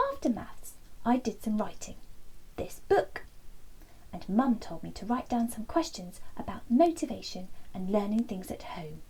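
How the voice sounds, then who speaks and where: Husky-voiced woman reading a bedtime story. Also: fairly high pitch, low-quality recording. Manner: lively, expressive reading with soft whispered asides.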